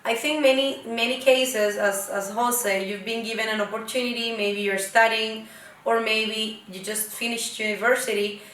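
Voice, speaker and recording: Bright-voiced woman host, echoey room. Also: on the high side, fairly even intonation, moderate pace. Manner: chatty, guiding questions. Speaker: host, interviewer. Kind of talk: casual interview chat. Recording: echoey room, distant mic.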